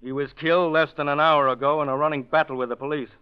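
A man's voice: fairly high pitch, steady tone, quick pace, clean tone, natural voice. A man playing a curt homicide lieutenant. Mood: stern. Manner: curt, hard-nosed interrogation. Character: blunt male homicide lieutenant. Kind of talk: 1940s radio acting. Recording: muffled old radio broadcast.

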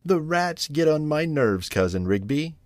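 A man's voice: fairly high pitch, very animated, unhurried, dry recording, pitched above the performer's own voice. A man voicing an anxious, tearful mother cat in a children's storybook, fretful and lamenting.

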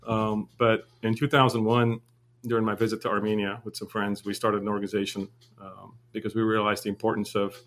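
Interview guest, male, 40s, from Lebanon; low, flat, steady storyteller. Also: slight background noise. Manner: steady, measured storytelling with frequent fillers. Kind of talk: casual interview.

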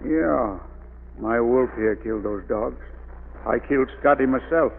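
Folksy, vengeful radio villain, tinny sound. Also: male voice, medium pitch, measured pace. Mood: gloating. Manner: folksy, rough grammar. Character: vengeful, menacing murderer with a pet wolf. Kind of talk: 1940s radio villain acting. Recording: noisy, phone-like old radio recording.

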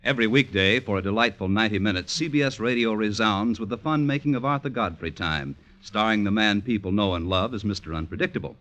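An American man announces in classic 1950s radio style. His voice is low and widely inflected, with a polished, emphatic scripted delivery. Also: animated, quick pace, few pauses, fairly bright tone, highs cut off.

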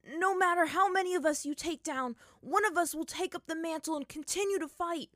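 A woman's voice: very high-pitched, a little fast, a bit bright, no room sound, clean studio sound, voice pushed up. A woman voicing a brave young boy hero who answers his enemy in a raised, earnest voice. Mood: defiant.

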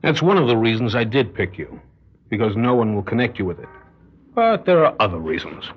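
An actor playing a shrewd, controlling criminal mastermind in a radio drama, speaking in a measured, calculating way with quiet menace. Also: male voice, lowish voice, big pitch swings, husky, exaggerated voice. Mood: quietly threatening. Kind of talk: classic radio drama acting. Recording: dull lo-fi radio drama recording.

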